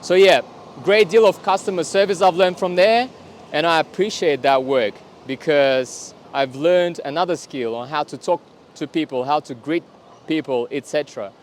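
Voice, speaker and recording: A man speaks to camera on a city street, his voice sitting a little high for a man. He talks in a deliberate way, pausing rather than filling gaps. Faint traffic hums behind him. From Kazakhstan. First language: Russian. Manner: deliberate, pausing instead of fillers. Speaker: vlogger. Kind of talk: casual talk to camera.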